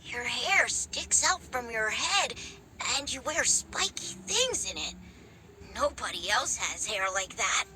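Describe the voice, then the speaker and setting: A woman's voice: a little high, sing-song, slow pace, pushed and bright, slight background noise, full character voice. An actress voicing a small, earnest little girl in a video game, childlike, blunt and a bit hesitant. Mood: blunt, shy.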